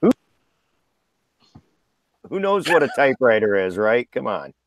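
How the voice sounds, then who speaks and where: A man's voice: medium pitch, sing-song, a little slow, dry recording. A man in his fifties chatting casually and bantering on a live group show. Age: 50s. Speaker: live chat show panelist.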